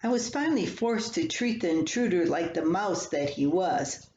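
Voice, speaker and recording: An older woman reading her own humorous personal column aloud in a folksy, unhurried storytelling manner. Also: on the low side, lively intonation, a little mellow. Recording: echoey room, slightly dull, faint hiss.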